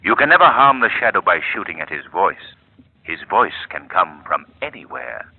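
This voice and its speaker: An actor playing a mysterious unseen male avenger in an old radio drama, slow, sinister and mocking. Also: sits low, big pitch swings, slightly rough, no echo, heavily acted. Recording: tinny old radio broadcast.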